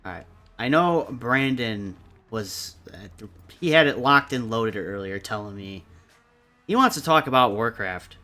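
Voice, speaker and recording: A man's voice: medium pitch, expressive, measured pace, sharp tone, soft background music. A man chatting casually and informally as he moderates a discussion among friends. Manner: informal, sweary. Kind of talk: casual chat.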